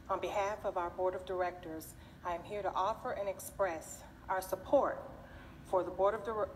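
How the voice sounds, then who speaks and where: Middle-aged woman reading her statement at a podium, long echo in a big hall, faint room noise. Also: fairly low pitch. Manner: reads steadily from notes at a podium. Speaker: PTA council president. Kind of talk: prepared public hearing statement. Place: large public meeting chamber, indoors. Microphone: podium microphone, filmed from the audience.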